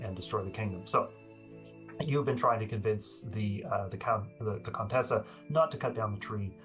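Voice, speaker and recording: A man running a tabletop game as game master, chatty, laughing often and filling pauses with ums. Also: lowish voice.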